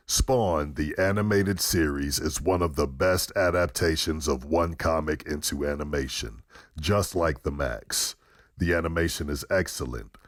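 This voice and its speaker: Very deep-voiced man reading reviews. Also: no echo, clean studio sound. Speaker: podcast review segment reader. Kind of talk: reading listener reviews aloud, scripted delivery.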